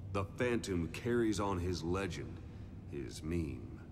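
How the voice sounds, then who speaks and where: Cryptic veteran military schemer: deep, measured, grave game-drama delivery in a reverberant, noisy setting. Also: male voice, big pitch swings, heavily acted. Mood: grave, calculating. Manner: measured, grave, deliberate pauses. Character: calculating older male soldier, cryptic strategist, calmly resolute. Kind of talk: dramatic game cutscene dialogue. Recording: long reverb, clear background noise.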